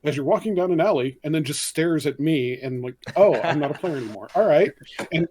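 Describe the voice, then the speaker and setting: A man's voice: fairly high pitch, expressive, brisk, a little mellow, slightly rough. A man on a game masters' panel, casually recounting an anecdote.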